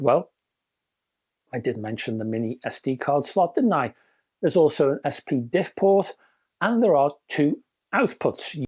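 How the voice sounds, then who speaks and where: British man with a very animated delivery. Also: a little high, slightly rough. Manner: chatty, wry, emphatic with rhetorical questions. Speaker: product reviewer. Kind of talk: scripted review narration with casual asides.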